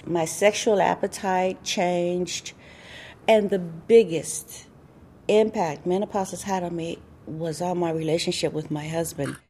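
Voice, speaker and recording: A woman recounts midlife experiences candidly, like telling a story. Her voice is low and mellow. A faint hum of ambience sits behind her. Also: steady tone, measured pace. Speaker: interviewee.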